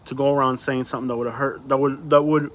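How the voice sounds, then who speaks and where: A man's voice: medium pitch, flat delivery, fast, a bit bright. A man from Cuba, a native Spanish speaker, hosting a livestream with casual, conversational chat full of rhetorical questions. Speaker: livestream host. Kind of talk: casual chat, answering live viewer comments.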